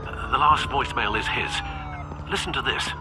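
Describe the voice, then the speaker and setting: An actor playing a loyal, cautious butler and advisor in a video game, formal, measured and polite. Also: male voice, mid-range voice, animated, noisy background, close to an everyday voice. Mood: concerned, advising. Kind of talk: dramatic game voice acting.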